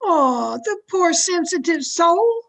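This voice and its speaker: A timid, soft-hearted housemaid played by a woman in a radio comedy. Her extremely high voice swoops about slowly, fluttery and hesitant. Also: sing-song, gravelly, exaggerated voice. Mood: timid, sympathetic. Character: timid, sympathetic female housemaid. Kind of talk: old-time radio comedy acting.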